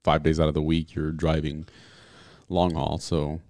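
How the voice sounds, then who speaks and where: A man's voice: very deep voice, completely flat delivery, unhurried, darkish tone, no room sound, studio quality. A young man co-hosting a casual podcast with a friend, easygoing and self-assured. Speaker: podcast co-host. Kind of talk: casual chat.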